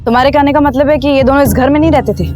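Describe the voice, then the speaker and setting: A sharp female police officer presses witnesses with brisk questions, played by an actress. Her voice is high and she talks fast. Music plays clearly underneath. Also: steady tone, close to an everyday voice. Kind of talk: television crime drama acting.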